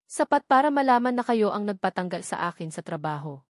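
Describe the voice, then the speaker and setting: A woman voicing a wronged, defiant young woman with an indignant, forceful manner in a narrated drama. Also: medium pitch, very animated, fairly quick, no echo, studio quality, lightly acted. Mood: angry, defiant.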